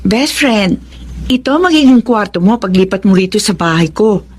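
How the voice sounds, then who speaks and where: A woman acting a caring, understanding young best friend with a gentle, sympathetic delivery in a radio drama. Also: mid-range voice, animated, moderate pace, breathy edge. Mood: concerned, supportive. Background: music.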